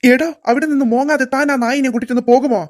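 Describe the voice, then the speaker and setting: A stern, bossy male principal from a cartoon school, put on by a woman. He scolds in a gruff, dismissive way. The voice stays fairly high for a man. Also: steady tone, rapid-fire, close to an everyday voice. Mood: scolding.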